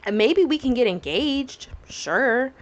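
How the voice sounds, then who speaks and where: A woman's voice: high-pitched, expressive, slow, lo-fi sound. Chatty young woman rambling on a podcast. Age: 20s. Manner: rambling, chatty, lots of fillers and tangents. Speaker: podcast host. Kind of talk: casual solo chat.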